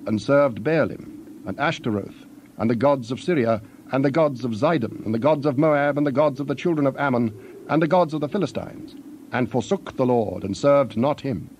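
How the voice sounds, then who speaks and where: A man narrating scripture in a solemn, measured storytelling style. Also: medium pitch, darkish tone, muffled recording, a little hiss or hum.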